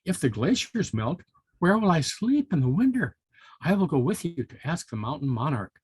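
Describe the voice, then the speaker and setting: A man's voice: on the high side, big pitch swings, normal speed, a little mellow, slightly rough, no room sound, voice pushed up, full character voice. A man voicing a small anxious female rodent in a children's storybook, exclamatory and fretful. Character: small anxious female squirrel and marmot, squeaky. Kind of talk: children's storybook read-aloud.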